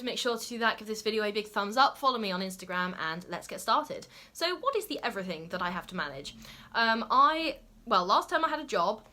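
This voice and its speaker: Bright-voiced young woman vlogging chattily. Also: mid-range voice. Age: young adult. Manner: chatty, many "like" and "okay?" asides. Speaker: lifestyle YouTuber. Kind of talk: casual talk to camera.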